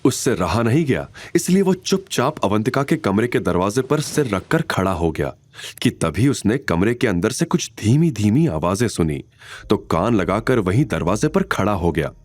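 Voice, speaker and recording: A man's voice: fairly low pitch, big pitch swings, normal speed, dry recording. A man reading scripted dramatic narration as the narrator of an audio story. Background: background music.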